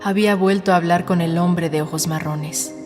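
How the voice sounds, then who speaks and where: A woman reading a novel as an audiobook narrator, in a measured, suspenseful storytelling manner. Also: on the low side, steady tone, darkish tone. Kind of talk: scripted dramatic narration of a novel. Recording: studio narration over a music bed. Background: background music.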